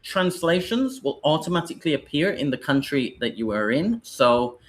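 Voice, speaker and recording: A man's voice: a little high, sing-song, normal speed. A British man leading a live online training session for teachers, explaining in a loose, rambling way.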